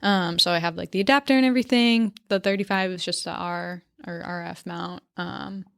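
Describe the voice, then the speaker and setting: A woman's voice: on the low side, steady tone, normal speed, vocal fry, dry recording, studio quality. A woman in her twenties from Maine, a photographer, talking casually in an interview with many ums and likes. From central Maine, US. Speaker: guest photographer.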